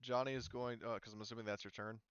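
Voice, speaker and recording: A man's voice: mid-range voice, level tone, quick pace, fairly bright tone, clear, resonant tone, no room sound, dull audio. A man acting as game master, casually thinking aloud as he talks players through rules and turns. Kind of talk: casual tabletop game narration and rules talk.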